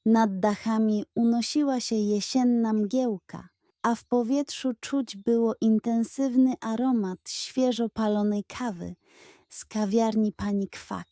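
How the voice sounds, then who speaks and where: A woman's voice: fairly high pitch, dark tone, no room sound, clean studio sound. A woman narrating a scripted children's bedtime story as a storyteller.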